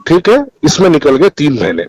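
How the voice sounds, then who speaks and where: A man's voice: a little high, huge pitch swings, a little fast, a little mellow, no room sound. A man with a Hyderabadi accent explaining at length on a recorded phone call, emphatic and insistent, often repeating himself. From Hyderabad, India. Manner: insistent, emphatic, repeats phrases and demands to be heard. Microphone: telephone.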